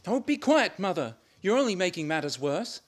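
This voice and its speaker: A man's voice: high-pitched, big pitch swings, normal speed. A man acting an impatient young son in a radio play farce. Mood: impatient, evasive.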